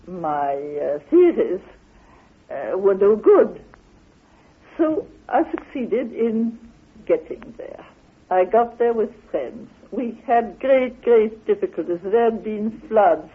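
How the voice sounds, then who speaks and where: Slow, high-voiced woman on tinny radio. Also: sing-song, breathy edge. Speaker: explorer and theorist recounting her expedition. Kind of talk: spoken anecdotal account of an expedition. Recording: tinny band-limited old radio recording.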